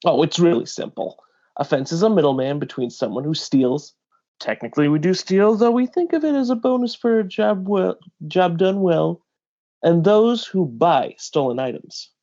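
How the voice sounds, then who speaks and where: A man reading a big, seasoned pirate bounty hunter from a comic, wry, measured and explanatory. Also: high-pitched, expressive, dark tone, dull audio.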